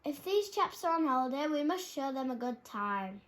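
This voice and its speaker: A woman's voice: high-pitched, lively intonation, moderate pace, fairly bright tone. A woman voicing a big, silly, party-loving male bear in a storybook, with a bumbling manner. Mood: jovial. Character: big, jolly, silly male bear who loves parties. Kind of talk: storybook character voice. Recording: clearly noisy background.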